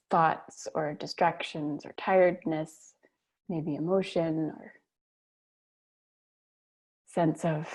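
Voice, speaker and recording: A woman leading a guided meditation on a video call, speaking gently and unhurriedly with long pauses. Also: on the low side, gravelly.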